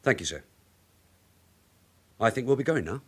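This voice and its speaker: An actor playing a shrewd veteran police detective sergeant in a radio drama, measured and deferential while he probes. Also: mid-range voice, animated, slightly rough. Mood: polite, probing. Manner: measured, deferential but probing questioning. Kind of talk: naturalistic radio drama acting.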